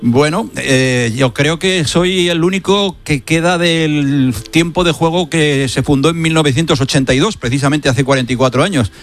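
A man in his sixties from Barcelona, a veteran radio sports reporter, reminiscing in a casual interview with many pauses and fillers. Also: a little high. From Spain (Barcelona). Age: 60s.

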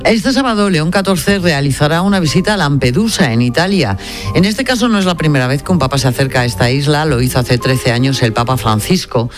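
A woman anchoring a radio news bulletin, reading in a measured, formal way. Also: deep voice, animated, soft background music.

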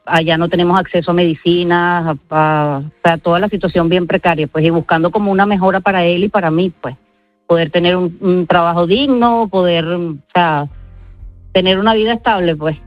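A woman's voice: lowish voice, dry recording. Venezuelan woman, interview guest, hesitant and informal, dry but slightly muffled broadcast sound. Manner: hesitant, halting, repeats words. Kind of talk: informal spoken interview answers. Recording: dull, band-limited radio-like sound.

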